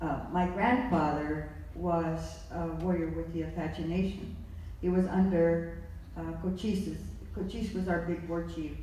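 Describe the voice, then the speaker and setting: A panelist speaking quietly and haltingly, telling a family story at a live discussion. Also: mid-range voice, little pitch movement. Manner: soft-spoken, halting, self-deprecating storytelling. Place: large museum hall. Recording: echoey hall from far off, faint noise.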